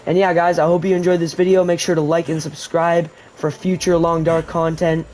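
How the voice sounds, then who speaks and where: A man's voice: a little high, a little fast, slight background noise. A man giving a casual, rambling voice-over tutorial for a survival video game, explaining as he plays. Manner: relaxed, rambling explanation with restarts. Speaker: gaming YouTuber.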